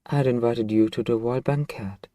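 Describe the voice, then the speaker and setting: A man playing a centuries-old vampire master and possessive, tender boyfriend in an intimate ASMR roleplay, speaking softly and wistfully with lingering phrasing. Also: mid-range voice, steady tone, moderate pace, dry recording, natural voice. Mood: teasing, fond. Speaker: ASMR voice actor.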